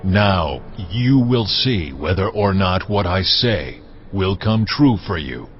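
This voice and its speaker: A man's voice: deep voice, animated, slow pace, lo-fi sound. A man playing God in a dramatised scripture production, stern, solemn and authoritative, speaking with great deliberation. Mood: solemn, commanding. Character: God, stern commanding divine male voice. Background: music.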